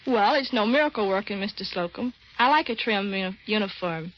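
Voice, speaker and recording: A woman's voice: mid-range voice, expressive, fairly quick. An American woman, an army sergeant, being interviewed on a 1940s radio broadcast. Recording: dull vintage 1940s radio recording.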